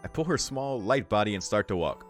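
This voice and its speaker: Low-voiced, animated man reading a game aloud. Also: brisk. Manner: amused, breaks into slangy, sweary asides. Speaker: reaction streamer reading a visual novel. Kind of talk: casual read-aloud with commentary. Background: faint game music.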